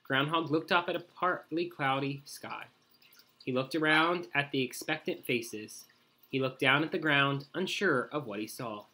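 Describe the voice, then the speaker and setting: A man narrating a children's storybook aloud in an expressive read-aloud style. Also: medium pitch, a little slow, slight background noise. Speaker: story reader.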